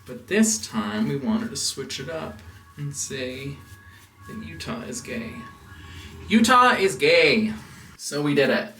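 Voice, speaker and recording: An American man telling a personal story as a casual vlog host, in a rambling, halting way. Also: on the high side, a bit bright. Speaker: house music producer and DJ hosting a vlog. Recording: roomy, distant mic with background noise.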